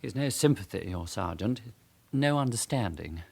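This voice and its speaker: A slippery gentleman fraudster in a radio play. The voice is lowish and husky with plenty of creak. He speaks primly, as if wronged. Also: lively intonation, moderate pace, heavily acted. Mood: indignant. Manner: prim, plaintive, indignant. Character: evasive, genteel male fraudster, wounded and self-righteous. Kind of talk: naturalistic radio drama acting.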